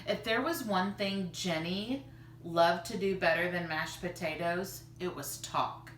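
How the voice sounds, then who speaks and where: A woman reading a children's story aloud in an expressive, animated storytelling style. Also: on the low side, unhurried. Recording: roomy, distant mic, faint noise.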